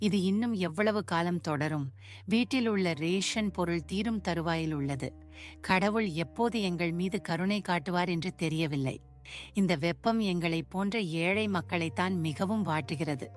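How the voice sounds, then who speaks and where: A woman's voice: lowish voice, normal speed, lightly acted. A woman voicing an anxious poor village mother who frets and laments. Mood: worried. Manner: fretful, lamenting. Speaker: voice actor. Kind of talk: dubbed cartoon acting. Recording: music bed behind.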